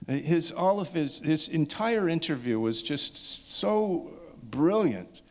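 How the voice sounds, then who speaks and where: A man's voice: a little high, lively intonation, takes it slowly. A man speaking informally as a filmmaker at a discussion, effusive and often breaking off to restart his sentences. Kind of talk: informal post-screening remarks.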